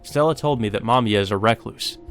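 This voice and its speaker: A man's voice: lowish voice, a little fast, a bit bright, faint music behind. A man narrating a visual novel aloud as a let's play host, with brief asides of his own.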